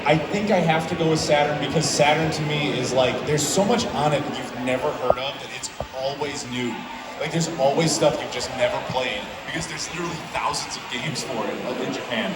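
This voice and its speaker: Enthusiastic male panelist, mid pitch, distant in a noisy reverberant room. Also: fairly quick. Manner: enthusiastic, many restarts. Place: large convention hall, live panel. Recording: echoey hall from far off, loud noise.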